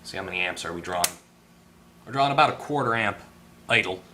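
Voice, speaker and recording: A man with a fairly low but bright, forward voice talks through an electronics repair. He is informal and tentative, reasoning aloud at his workbench. A faint layer of noise sits behind him. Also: expressive, quick pace. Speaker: repair video host. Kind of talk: casual hands-on electronics tutorial. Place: at a workbench indoors.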